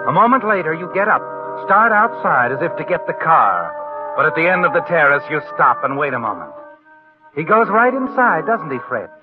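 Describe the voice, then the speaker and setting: Tinny old radio show, music under a knowing suspense narrator, highish voice, insinuating. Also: male voice, very animated. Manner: insinuating, deliberate, dramatic. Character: sly, knowing mystery narrator, a man, addressing the character. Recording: vintage radio, phone-like, music behind. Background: dramatic music.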